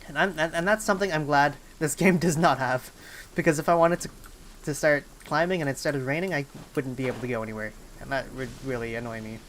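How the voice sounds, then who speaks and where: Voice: mid-range voice, fairly even intonation, quick pace, fairly bright tone, a little hiss or hum. A game streamer giving casual live commentary, rambling and often breaking off mid-thought while thinking aloud. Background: game music.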